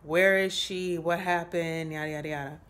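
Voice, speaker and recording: A woman in her late twenties talking to her phone camera in a small room, giving a casual, rambling update with many pauses and fillers. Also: fairly low pitch, steady tone, fairly bright tone. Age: 20s. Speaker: vlogger. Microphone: phone held close at arm's length.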